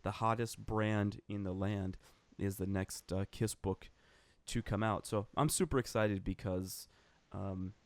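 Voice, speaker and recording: A man's voice: fairly low pitch, fairly even intonation, measured pace, dry recording. A man co-hosting a casual podcast chat, eager and full of fillers. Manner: enthusiastic, filler-heavy. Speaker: podcast co-host.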